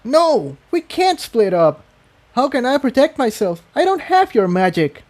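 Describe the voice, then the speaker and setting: A man acts out a young female pegasus from a fantasy storybook. The voice is pitched way up into a thin falsetto. She sounds fretful and pleading, a timid little thing. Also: sing-song, measured pace, darkish tone, heavily acted. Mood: frightened. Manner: nervous, pleading. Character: timid, anxious young female pegasus pony, quick to tears.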